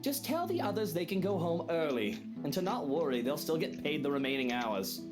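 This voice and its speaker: An actress playing a curt, commanding boss in a visual novel game. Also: female voice, low voice, big pitch swings, a little fast, loud music behind the voice. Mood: curt, insistent.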